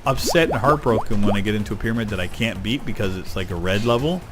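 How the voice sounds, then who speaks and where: A man's voice: fairly low pitch, fast talker. Chatty middle-aged man over game music. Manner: rambling, chatty, excitable over loot. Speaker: gaming YouTuber. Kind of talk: casual let's-play commentary.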